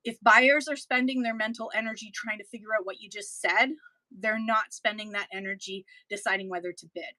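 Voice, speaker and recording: Bright-voiced Canadian woman giving tips. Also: mid-range voice, fairly even intonation, a little fast, dry recording, low-quality recording. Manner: chatty, practical, with frequent ums and restarts. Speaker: livestream seller giving selling tips. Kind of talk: casual instructional talk to viewers.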